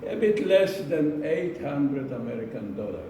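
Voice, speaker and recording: A man giving a prepared speech to an audience in a slow, deliberate manner, repeating phrases for emphasis. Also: a little high, big pitch swings. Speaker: club speaker giving a speech. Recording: echoey room, distant mic, noisy.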